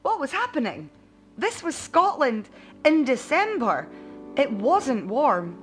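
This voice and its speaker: A woman narrating a scripted bedtime story in an expressive audiobook style. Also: sits high, takes it slowly, faint music behind.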